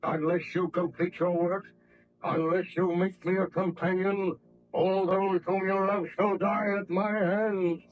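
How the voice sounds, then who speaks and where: An actor playing a hideous, brooding male monster with slow, deliberate, formal speech in a 1930s radio horror play. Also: high-pitched, little pitch movement, soft background music. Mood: threatening. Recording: dull lo-fi old radio recording.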